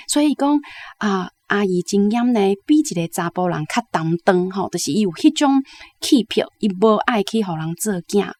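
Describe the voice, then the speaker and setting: A woman's voice: mid-range voice, a little mellow. A woman with a Taiwanese accent giving explanatory literary commentary as a guest.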